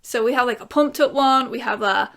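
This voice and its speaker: A woman's voice: a little high, brisk, fairly bright tone. Giggly, rambling woman chatting to camera. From England. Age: 30s. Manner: breaks into bits of song. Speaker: YouTuber answering viewer questions. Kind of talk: casual Q&A chat to camera.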